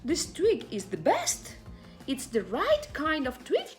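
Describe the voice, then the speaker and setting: Woman as pleased, high-voiced storybook swan. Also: huge pitch swings, takes it slowly, faint music behind, exaggerated voice. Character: pleased, house-proud swan. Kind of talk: children's storybook read-aloud.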